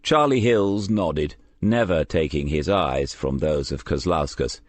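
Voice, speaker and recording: A man's voice: very deep voice, unhurried, no echo. A man reading crime fiction as a scripted audiobook narration.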